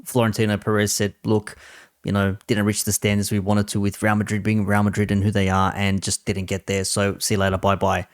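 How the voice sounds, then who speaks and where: A man with a low, gravelly voice delivers off-the-cuff sports commentary in a dry, close recording. He argues sarcastically, repeating himself and posing rhetorical questions. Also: completely flat delivery, a little fast, dry recording. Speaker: host giving football opinion.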